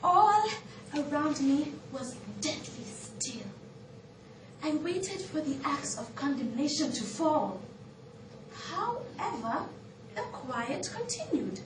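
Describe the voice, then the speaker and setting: A woman's voice: high-pitched, big pitch swings, heavily acted. A woman with a Kenyan accent playing a shamed but devoted biblical outcast in a theatrical, emphatic stage monologue. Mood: reverent, fearful. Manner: theatrical, emphatic, drawn-out declamation. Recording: echoey, noisy room, distant microphone.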